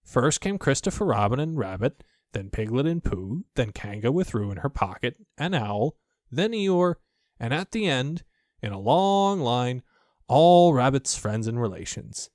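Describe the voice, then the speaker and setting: A man's voice: mid-range voice, sing-song, measured pace, darkish tone, dry recording, clean studio sound. A man narrating a children's story as an audiobook reader, from a script.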